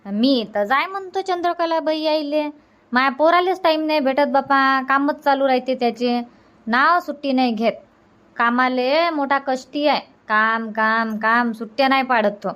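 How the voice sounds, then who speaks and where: Give the role to a woman acting as an older village mother in a comedy cartoon. She has a high voice and a Varhadi Marathi accent. Her manner is homely, polite and practical, with plain colloquial wording. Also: moderate pace, a bit bright. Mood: matter-of-fact. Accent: Varhadi Marathi from Vidarbha.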